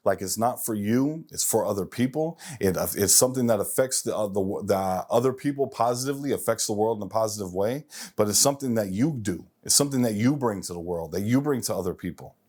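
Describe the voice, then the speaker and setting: A man's voice: fairly low pitch, lively intonation, a little fast, a little mellow. A man of forty hosting a self-improvement show, speaking emphatically in a motivational monologue and repeating phrases for effect.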